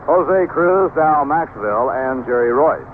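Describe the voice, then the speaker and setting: A man's voice: mid-range voice, lively intonation, unhurried, narrow, tinny audio. A man with an American accent calling a baseball game play by play in live radio commentary. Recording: noisy, band-limited old radio broadcast.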